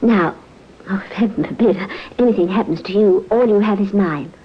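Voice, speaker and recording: An actress playing a trusting, sweet-natured wife, gushing and affectionate, in an old-time radio mystery. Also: female voice, medium pitch, moderate pace, slightly rough, natural voice. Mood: adoring. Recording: dull, noisy old radio recording.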